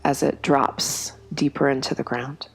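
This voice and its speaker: A woman guiding a yoga nidra meditation in a slow, soft, soothing way, with long pauses between phrases. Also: extremely low pitch, steady tone, husky, faint music behind, rough recording. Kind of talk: guided meditation.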